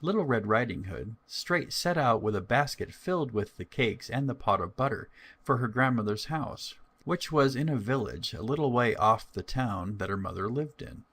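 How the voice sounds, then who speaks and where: A man's voice: mid-range voice, animated, moderate pace, a bit bright. A man narrating a scripted fairy tale as a storyteller.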